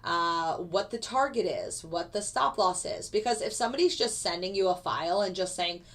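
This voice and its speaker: A woman's voice: lowish voice, moderate pace, a bit bright. A woman who teaches trading, casually explaining her settings and walking viewers through a live chart as a trade plays out.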